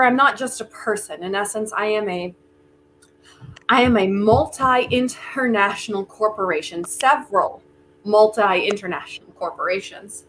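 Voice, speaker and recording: A woman's voice: mid-range voice, fairly bright tone, natural voice. A woman playing a genial, self-important, theater-loving tycoon in a comic play reading, talking in a wry, coaxing, theatrical manner. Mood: wistful, boastful. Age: older. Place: remote virtual reading. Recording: noisy online video call audio.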